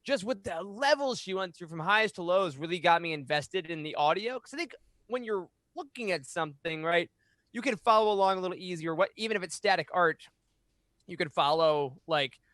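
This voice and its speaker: A man's voice: high-pitched, animated, brisk, a bit bright, no echo. A man in his thirties giving earnest, emphatic opinions in a casual podcast discussion, speaking close to a studio mic. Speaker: podcast co-host. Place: small home room, indoors. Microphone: boom-arm studio mic up close.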